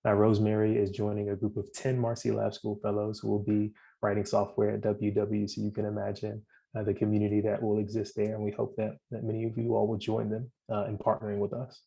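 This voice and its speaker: Low gravelly guy, flat delivery, lots of ums, kicking off student demos. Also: rough recording. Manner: halting, many ums and restarts. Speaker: program staff introducing student presenters. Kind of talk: opening remarks at a demo event.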